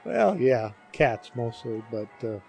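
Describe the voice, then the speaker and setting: Older male interview guest, average pitch, halting delivery, dry joking, faint music behind. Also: expressive, vocal fry, a little mellow. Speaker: interview guest, father of the hosts. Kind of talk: casual interview answers.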